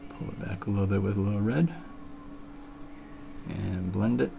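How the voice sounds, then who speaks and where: A man painting on a live stream, thinking aloud in a relaxed, casual way as he demonstrates and chats with viewers. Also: on the low side, normal speed, creaky, noisy background. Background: music playing.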